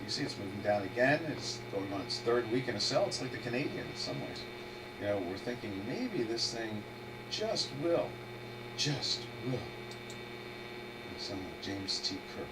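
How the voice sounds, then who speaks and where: A man fighting a head cold who hosts a live trading webinar, thinking aloud in a rambling way and restarting his sentences. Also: medium pitch. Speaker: trader hosting a live webinar. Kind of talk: casual live market commentary, thinking aloud. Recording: distant mic with steady electrical hum.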